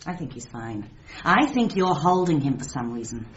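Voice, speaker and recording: Determined young woman, very expressive pitch. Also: normal speed. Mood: suspicious. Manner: direct, probing, insistent. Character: determined, suspicious young woman, blunt and brave. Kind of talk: radio drama dialogue. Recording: dull, faintly noisy radio recording.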